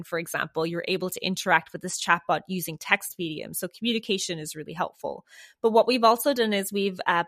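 A woman's voice: lowish voice, a bit bright, no room sound, studio quality. A woman with a non-American accent, a guest in a casual interview, explaining things in an upbeat way and laughing easily. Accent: non-American English.

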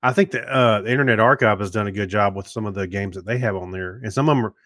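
A man's voice: on the low side, fast talker, a bit bright, no echo, studio quality. A man co-hosting a casual tech podcast in an easygoing way, laughing often. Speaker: podcast co-host.

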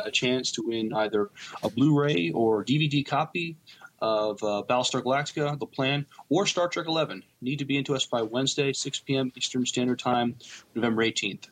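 A man's voice: mid-range voice, fairly bright tone. A man co-hosting a podcast in casual discussion. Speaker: podcast co-host.

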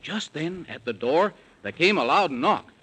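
A man's voice: fairly high pitch, expressive, unhurried, fairly bright tone, slightly rough. A man narrating a 1930s radio children's serial in rhyme, with a theatrical sing-song storytelling delivery.

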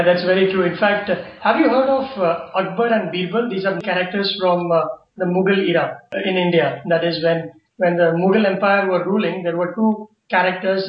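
A high-pitched man hosts a podcast, asking eager, chatty questions with some halting restarts. His voice sits in a roomy space and sounds dull and lo-fi. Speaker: podcast host. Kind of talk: interviewing.

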